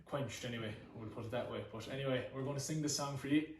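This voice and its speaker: A man from Connemara with an Irish accent, speaking English though Irish is his first language, chatting casually and warmly fillered as he introduces a song from home. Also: mid-range voice, quick pace, roomy sound, far from the mic, slight background noise. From Ireland. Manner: chatty, many fillers, repeats words.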